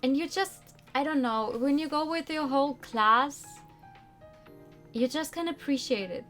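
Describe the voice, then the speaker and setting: Young woman streaming over soft music. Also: high-pitched, a little slow. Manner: animated, informal, rambling asides. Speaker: streamer playing a visual novel. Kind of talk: read-aloud narration mixed with casual chat. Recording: music behind the voice. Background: background game music.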